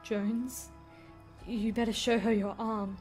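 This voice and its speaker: A woman's voice: fairly high pitch, flat delivery, takes it slowly, a little mellow, soft background music. A woman playing a nervous, hesitant researcher delivering a report in an audio drama. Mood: uneasy.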